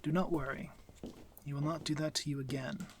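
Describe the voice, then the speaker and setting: Man acting a formal, soft-spoken vampire lord. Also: on the high side, fairly even intonation, very noisy, natural voice. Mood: concerned, reassuring. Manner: formal, measured, stiffly courteous speech. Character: courteous, protective, commanding male vampire lord. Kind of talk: intimate ASMR roleplay audio drama.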